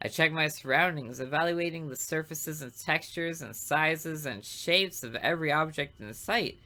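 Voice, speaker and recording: A man voicing a smug, artistic serial killer who narrates a visual novel with deliberate, theatrical, morbid relish. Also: fairly high pitch, bright, forceful tone.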